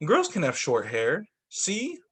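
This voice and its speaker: A man voicing a gentle, caring mother in a children's storybook. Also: on the high side, wildly swooping pitch, slow, breathy edge, full character voice. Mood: concerned, gentle.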